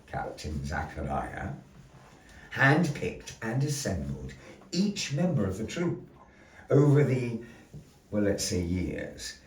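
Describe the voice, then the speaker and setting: A man hosting a live show as storyteller, with grandiose, deliberate phrasing and playful asides to the audience. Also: lowish voice, a little mellow. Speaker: storyteller and master of ceremonies. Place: indoor gallery. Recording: roomy echo, voice distant from mic.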